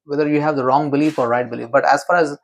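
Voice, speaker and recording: A man's voice: on the high side, fairly even intonation, racing, vocal fry. A man being interviewed as a memory expert, explaining in an emphatic, teacherly way and often asking rhetorical questions.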